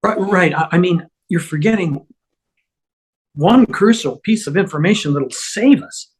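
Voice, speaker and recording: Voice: medium pitch, unhurried, lightly acted. An amateur actor playing a nervous, stammering schemer in a TV comedy table read, improvising cover stories. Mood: nervous bluffing.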